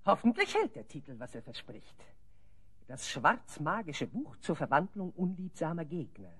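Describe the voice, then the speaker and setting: An actress playing a haughty, wicked forest sorceress in a German audio drama, imperious and theatrical. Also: female voice, low voice, huge pitch swings, moderate pace, breathy edge, exaggerated voice. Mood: scheming, disdainful.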